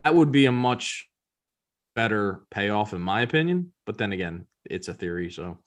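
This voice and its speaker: A man's voice: on the low side, expressive, moderate pace. A man co-hosting a podcast in casual, informal conversation, freely giving his opinions. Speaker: podcast co-host. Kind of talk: casual chat.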